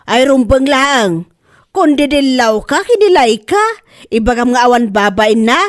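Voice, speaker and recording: High voice, Ilocano Filipino accent, sharp-tongued scolding mother, woman acting, exclamatory, radio comedy drama. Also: expressive, unhurried. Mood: scolding, angry. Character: indignant, sharp-tongued mother defending herself, later a wife.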